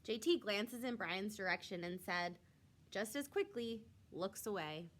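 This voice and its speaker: A woman reading a children's picture book aloud like a teacher, with expressive, clearly phrased storytelling. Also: mid-range voice, unhurried.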